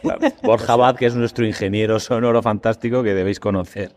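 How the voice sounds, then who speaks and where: A man's voice: lowish voice, steady tone, fast talker, a little mellow. A man with an accent from Spain hosting a casual interview, asking long, wordy, reflective questions. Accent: Spanish from Spain. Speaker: podcast host.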